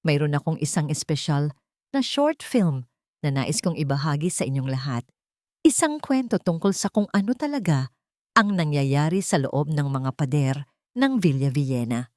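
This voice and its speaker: A woman voicing a calm, resolute millionaire husband with a grave, weighty manner in an audio drama. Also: low voice, normal speed, dry recording, clean studio sound.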